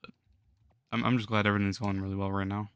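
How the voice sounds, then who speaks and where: A hoarse young man losing his voice, chatting casually with viewers on a gaming livestream and chuckling often. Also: lowish voice, level tone, fast, fairly bright tone, no echo. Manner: laid-back, chuckles while talking to chat.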